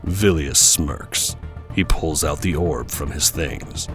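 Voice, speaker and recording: A man's voice: very deep voice, slow pace, faint music behind. A man playing the solemn narrator of a superhero audio drama, reading scripted scene descriptions dramatically. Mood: solemn, dramatic.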